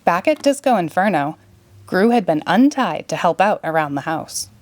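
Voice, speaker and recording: A woman narrating a children's story in a clear, expressive read-aloud manner. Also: lowish voice, normal speed. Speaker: storybook reader.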